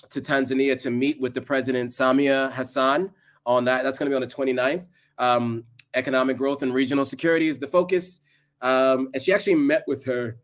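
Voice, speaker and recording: Chatty man hosting a live stream. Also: mid-range voice. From United States. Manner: conversational, many ums and restarts. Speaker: livestream host. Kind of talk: live presentation reading viewer comments.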